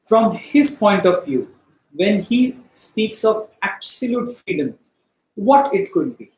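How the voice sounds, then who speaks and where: A man teaching philosophy, explaining deliberately in short, emphatic phrases with pauses. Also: sits high, big pitch swings, darkish tone. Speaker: spiritual study teacher. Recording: echoey, roomy sound.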